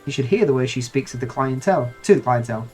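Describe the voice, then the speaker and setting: A man's voice: mid-range voice, expressive, fast, a little mellow, slightly rough, faint music behind. A man with a nasal cold voicing a fussy, harried male moogle letter courier in a game, reading the lines in flowery, exasperated phrasing. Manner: flowery, exasperated read-aloud dialogue.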